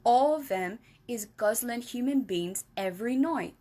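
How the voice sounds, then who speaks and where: A woman's voice: lively intonation, a little slow, dark tone. A woman reading aloud and voicing a big, kindly giant who mangles his grammar and invents words. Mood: emphatic. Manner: jumbled grammar, made-up words, booming. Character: big friendly giant, kindly, muddled speech.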